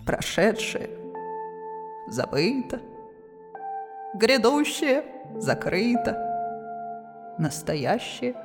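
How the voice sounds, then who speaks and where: A woman with a fairly high voice hosts a quiz show. She reads out questions and addresses the players, with faint music behind her. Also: sing-song, extremely slow, long pauses. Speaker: quiz host. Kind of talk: game show hosting, half scripted.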